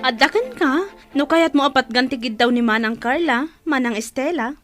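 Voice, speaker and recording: A woman's voice: high voice, animated, normal speed, a bit bright, faint music behind. An actress playing a respectful younger woman, a careful go-between, in an Ilocano radio drama. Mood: puzzled, curious.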